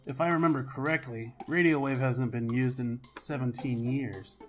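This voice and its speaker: A man's voice: medium pitch, steady tone, moderate pace, faint music behind, lightly acted. A man voicing an eager, talkative young mercenary in an amateur video game dub, casual and explanatory. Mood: eager, explaining.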